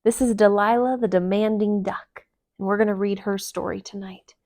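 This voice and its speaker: A woman reading a children's story aloud, with gentle, expressive storytelling narration. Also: medium pitch, moderate pace, no echo, studio quality. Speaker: storytime reader.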